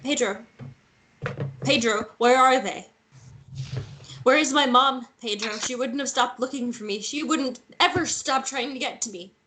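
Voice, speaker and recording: Woman playing scared confused girl in her twenties, high voice, insistent, bit of background noise, drama read. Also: measured pace, long pauses, sharp tone, rough recording. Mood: anxious, insistent. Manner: insistent, repetitive questioning. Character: bewildered, frightened young woman searching for answers. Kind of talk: emotional table read drama acting.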